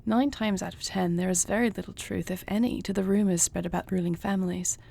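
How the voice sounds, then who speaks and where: Soft, mellow, husky queen played by a woman, courtly and tender, dry wit, intimate fantasy audio roleplay. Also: mid-range voice, fairly even intonation, brisk. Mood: concerned, discreet. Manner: formal, courtly phrasing, soft and affectionate. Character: gentle, poised dragon queen, newlywed, tender and dryly witty. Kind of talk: intimate audio roleplay acting, addressed to the listener.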